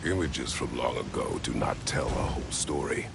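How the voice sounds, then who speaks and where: An actor playing a stern, guarded warrior father in a video game, speaking in terse, formal, measured sentences with a deep gravelly voice. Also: long reverb tail, noisy background, heavily acted. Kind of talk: video game dramatic acting.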